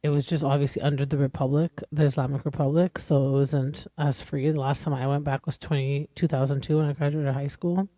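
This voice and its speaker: Earnest woman in her forties, mellow voice. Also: sits low, level tone, a little fast, few pauses, dry recording. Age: 40s. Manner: earnest, rambling, conversational. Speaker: podcast host. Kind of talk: casual podcast monologue.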